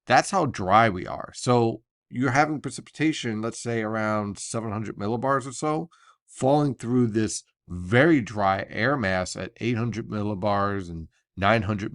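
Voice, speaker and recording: Male, American, lowish pitch. Weather forecaster explaining things conversationally, off script, in a dry room. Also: dry recording. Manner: conversational, explains technical detail step by step. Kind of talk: explanatory weather briefing, unscripted.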